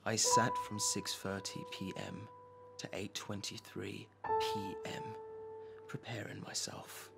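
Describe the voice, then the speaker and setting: Man acting a low, gravelly, nervous lovelorn artist. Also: flat delivery, loud music behind the voice. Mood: brooding, tense. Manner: hesitant, halting, awkwardly polite. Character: nervous, obsessive lovelorn male artist narrating his longing. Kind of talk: dramatic monologue and phone dialogue.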